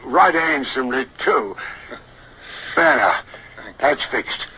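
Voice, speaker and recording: An actor playing a loyal common sailor in a 1950s radio drama, speaking deferentially in folksy, ungrammatical phrases. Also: male voice, mid-range voice, lively intonation, takes it slowly, bright, forceful tone, heavily acted. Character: plain-spoken, respectful common sailor, a loyal subordinate. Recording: dull, hissy old radio recording.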